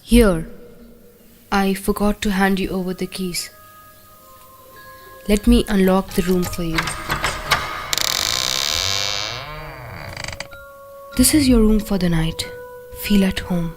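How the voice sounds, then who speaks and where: An actress playing a polite but quietly menacing cottage hostess in a horror radio play, composed and insinuating. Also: female voice, mid-range voice, measured pace, pauses often, soft background music, close to an everyday voice. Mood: courteous. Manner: composed, insinuating, pointed questioning.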